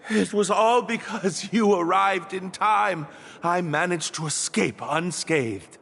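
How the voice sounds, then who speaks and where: An actor playing an earnest, nervous young prisoner in love in a video game, polite and a little hesitant. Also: male voice, high-pitched, takes it slowly, vocal fry, a bit bright, a little hiss or hum, heavily acted. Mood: shy, grateful.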